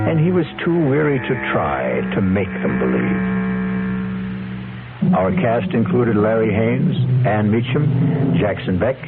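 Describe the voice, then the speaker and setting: A man hosting a radio mystery series, narrating dramatically in a measured, portentous way. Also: fairly low pitch. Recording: tinny old radio broadcast with music. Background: loud music.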